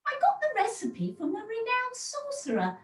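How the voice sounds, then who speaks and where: A woman's voice: very high voice, wildly swooping pitch, normal speed, slight background noise, heavily acted. A woman playing a grand, patronising pantomime fairy, with theatrical delivery, on an echoey, distant home video-call recording. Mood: helpful, grand. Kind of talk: amateur pantomime acting.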